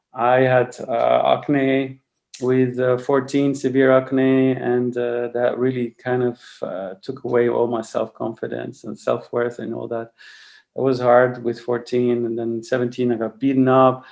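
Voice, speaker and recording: A man in his forties from Lebanon, a podcast guest telling his story in a halting way, with many fillers and restarts. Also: mid-range voice, vocal fry, a little mellow. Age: 40s. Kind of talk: casual interview talk.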